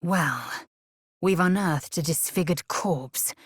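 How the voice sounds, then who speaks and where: A woman voicing a fierce, haughty young alien warrior in a video game, with a husky, breathy rasp and a clipped, imperious delivery. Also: fairly low pitch, slow pace, dry recording, studio quality. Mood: curt, appraising. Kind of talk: video game character voice lines.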